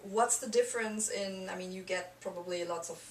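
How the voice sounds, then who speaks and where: A woman's voice: mid-range voice, brisk. Chatty woman interviewer in a roomy space. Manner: chatty, filler-heavy, friendly questions. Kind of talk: casual interview. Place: small room. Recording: roomy echo, distant mic, faint noise.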